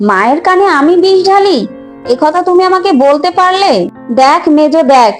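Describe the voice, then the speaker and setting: Eldest sister-in-law for a cartoon, high voice, sharp-tongued schemer, light music underneath. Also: female voice, animated, normal speed. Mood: scornful, indignant. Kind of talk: Bengali cartoon voice acting.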